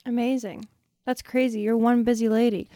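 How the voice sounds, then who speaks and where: A woman's voice: a little high, little pitch movement, moderate pace, mellow, no room sound. Enthusiastic Canadian woman hosting, dry close sound. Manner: informal, slangy, enthusiastic. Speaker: radio show host. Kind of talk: casual chatty radio interview. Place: radio studio.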